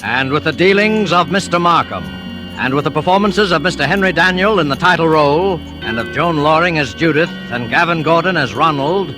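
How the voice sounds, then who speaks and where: A man with a fairly high voice introduces a program in formal 1940s radio style. He is measured and dramatic, with prominent music behind him on an old recording. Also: expressive. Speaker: program announcer.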